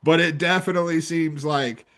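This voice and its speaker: A man's voice: fairly high pitch, fairly even intonation, normal speed, a bit bright. Discursive male sports podcast co-host, conversational. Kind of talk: casual sports commentary.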